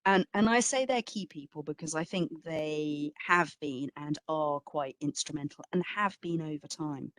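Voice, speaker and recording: A woman's voice: low-pitched, moderate pace, hardly any pauses, dry recording. A woman curator giving an explanatory, storytelling answer in an online talk, with emphatic phrasing. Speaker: exhibition curator, guest speaker.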